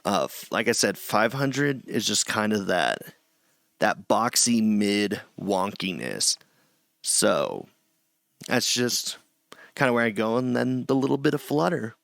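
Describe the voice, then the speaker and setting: A middle-aged man with a low voice gives a loose, chatty screen-share tutorial from a small home room, often restarting his sentences. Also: animated, takes it slowly, gravelly, fairly bright tone, no echo, clean studio sound. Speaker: streamer teaching audio setup.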